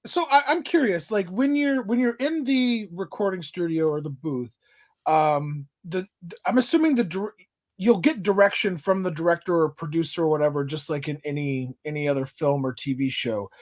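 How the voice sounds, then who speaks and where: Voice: medium pitch, moderate pace, darkish tone, no echo. A podcast host asking questions in a casual interview, playful and joking.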